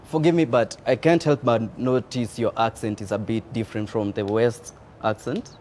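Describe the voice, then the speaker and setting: A man's voice: mid-range voice, normal speed, slight background noise. A man hosting a casual interview, upbeat and chatty, with frequent murmurs of agreement. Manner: energetic greeting, frequent affirming murmurs. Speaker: interview host.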